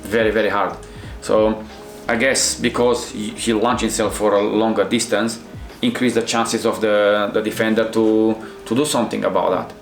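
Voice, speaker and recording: A middle-aged man from Romania with a Romanian accent, a Krav Maga instructor reacting to fight scenes in halting, restarting English. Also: lowish voice, little pitch movement, vocal fry, roomy sound, soft background music. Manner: halting non-native phrasing with many restarts.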